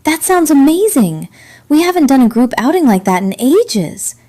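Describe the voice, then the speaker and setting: Female reader playing an enthusiastic schoolboy best friend, high and earnest, for story narration. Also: sing-song, moderate pace, warm tone. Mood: eager, bright. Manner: enthusiastic, exclaiming. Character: eager, earnest teenage boy, bright best friend. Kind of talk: story character voice.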